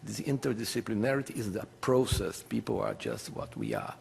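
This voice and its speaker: A man who is not a native English speaker giving a halting, self-correcting conference presentation. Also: fairly low pitch, huge pitch swings, creaky, heavy background noise. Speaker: academic conference panelist. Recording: reverberant hall sound.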